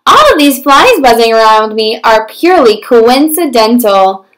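Woman voicing a dramatic, high-pitched stubborn cartoon pigeon. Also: sing-song, a little slow, fairly bright tone. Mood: defensive, indignant. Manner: exaggerated, theatrical delivery. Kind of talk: animated storybook read-aloud.

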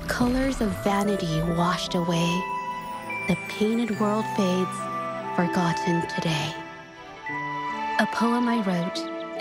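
A young woman playing an earnest, gentle painter in a game, soft-spoken and measured in her reflective delivery. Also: mid-range voice, lightly acted. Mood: wistful, resolute. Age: young adult. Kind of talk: dramatic game dialogue and reflective narration.

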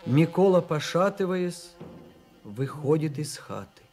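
A man reading scripted narration for a Russian radio drama in a measured way. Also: a little high, animated, darkish tone, faint music behind. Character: measured stage-direction narrator.

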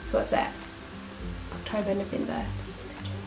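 A woman's voice: medium pitch, sing-song, fast talker, creaky, darkish tone, faint music behind. A young woman with a New Zealand accent, nasal from a cold, chatting enthusiastically through a skincare product review while seated in a small room. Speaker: beauty vlogger. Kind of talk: casual product review talk. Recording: roomy echo.

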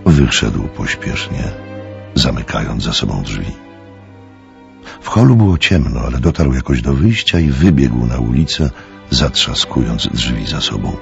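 A man's voice: very deep voice, big pitch swings, measured pace, gravelly. A man narrating a scripted story for a radio drama in a dramatic storytelling style. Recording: slightly dull audio with music underneath.

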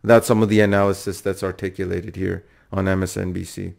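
A man's voice: sits low, little pitch movement, a little fast, creaky, darkish tone, slight background noise. A man giving political analysis in a wordy, repetitive way, stretching phrases out for emphasis. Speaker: political commentator.

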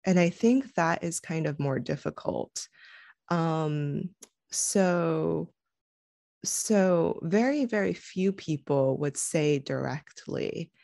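A woman in her thirties speaks with a lowish, gravelly voice that has plenty of fry. She talks reflectively, restarting and circling back as she gathers her thoughts. The sound is close and dry, through a headset on a video call. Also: slow pace, warm tone, no echo. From United States. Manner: thoughtful, many restarts and fillers. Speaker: guest. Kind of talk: casual conversational interview.

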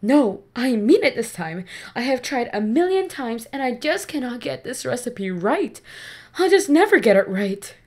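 A woman playing a devoted, excitable mother in an audio drama, expressive and motherly. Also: a little high, normal speed. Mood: exasperated. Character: devoted, excitable mother, an eccentric village baker. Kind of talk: amateur audio drama acting.

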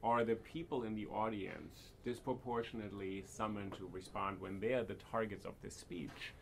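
A man's voice: on the low side, fairly even intonation, normal speed, gravelly. A man hosting a podcast interview, asking probing questions and thinking aloud. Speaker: podcast host. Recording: faint background noise.

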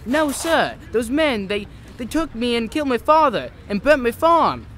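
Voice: medium pitch, big pitch swings, normal speed, a bit bright, a little hiss or hum. An actor playing a frightened but defiant kidnapped farm boy in an audio drama, anxious and protesting. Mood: scared, defiant. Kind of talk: family audio drama acting.

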